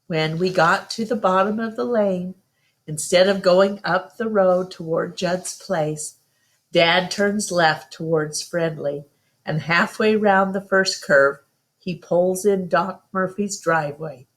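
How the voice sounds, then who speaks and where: A woman's voice: fairly low pitch, slow. A woman storyteller reading a first-person children's novel aloud as narration.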